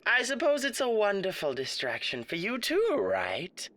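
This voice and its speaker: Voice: medium pitch, huge pitch swings, a little slow, a bit bright. An actor playing a smug, sadistic male radio demon in an ASMR roleplay, theatrical, mock-polite and taunting.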